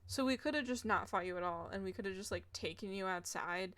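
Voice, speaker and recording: A woman's voice: mid-range voice, fairly quick, a bit bright. A young woman streaming a video game, talking in a casual, sarcastic, blunt and giggly way. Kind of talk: casual live gameplay commentary.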